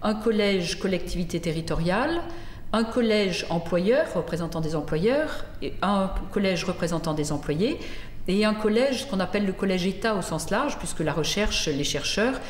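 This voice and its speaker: A woman's voice: mid-range voice, expressive, moderate pace. A woman giving a spoken explanation as an interviewee. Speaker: interviewee explaining working groups. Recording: echoey room, faint noise.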